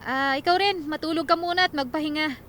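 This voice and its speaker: Bright, high-pitched evasive teenage daughter. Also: female voice, fast talker, a little hiss or hum. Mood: dismissive. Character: evasive, casual nineteen-year-old daughter. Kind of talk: Filipino radio drama acting.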